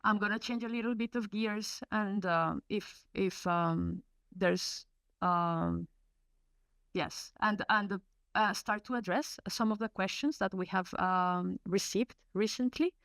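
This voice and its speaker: English speaker from Colombia, female, webinar presenter; gravelly, halting delivery, dry close mic. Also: medium pitch, fairly bright tone, no room sound. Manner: hesitant, many fillers and restarts. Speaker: research program presenter. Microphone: video call.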